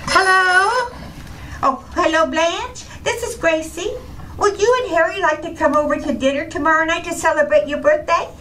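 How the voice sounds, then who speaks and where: A woman's voice: extremely high pitch, normal speed, pushed and bright, a little hiss or hum, rough recording. A woman playing a cheerful, scatterbrained housewife with guileless, illogical logic, in old-time radio comedy style. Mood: bright, guileless.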